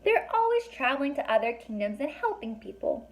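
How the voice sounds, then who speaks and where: A woman puts on a little female fairy from a children's book. She sounds polite and light, pitched up as she explains things. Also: sing-song, a little fast. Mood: explaining.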